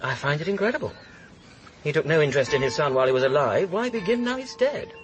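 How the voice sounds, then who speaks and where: A man's voice: fairly high pitch, expressive, quick pace, music in the background, lightly acted. A man with a British accent playing a flippant, supercilious young student in a radio drama, arch and witty. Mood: incredulous. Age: young adult.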